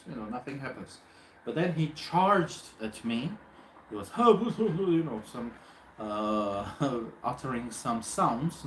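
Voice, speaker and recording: A man whose first language is Armenian, hosting a live video chat and retelling a story haltingly, with many fillers. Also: medium pitch. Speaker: host. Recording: echoey room with faint noise.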